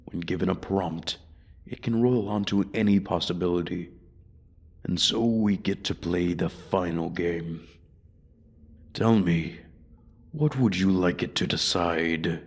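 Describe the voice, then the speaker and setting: A man's voice: deep voice, slow pace, long pauses, slightly rough, heavily acted. A man voicing an ancient male dragon in a fantasy roleplay, haughty, bored and menacing, speaking formally and condescendingly. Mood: menacing, solemn. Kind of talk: ASMR fantasy roleplay audio.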